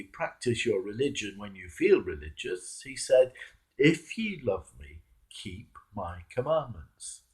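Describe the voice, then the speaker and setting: A British man, a clergyman, reading a devotional reflection in a measured, emphatic way with a gravelly, husky voice. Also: medium pitch, warm tone, rough recording. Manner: measured, emphatic, repeats key phrases for weight. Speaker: Anglican clergyman. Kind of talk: devotional sermon reflection, read aloud.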